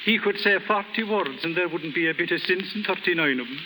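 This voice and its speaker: An actor playing a loyal, deferential Irish manservant with folksy, gently chiding talk in a 1930s radio drama. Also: male voice, high voice, lively intonation, a little fast. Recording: noisy 1930s radio recording.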